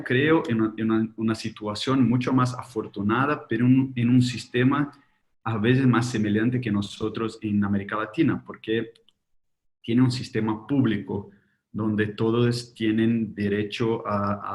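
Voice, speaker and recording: Un hombre brasileño de lengua materna portuguesa, con un leve acento argentino, da una charla en un seminario web por videollamada, con habla pausada y llena de muletillas. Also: male voice, on the low side, steady tone. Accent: slight Argentine. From Brazil. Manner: slow, hesitant, with many fillers. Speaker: guest speaker, ophthalmologist. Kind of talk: webinar lecture. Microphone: video call.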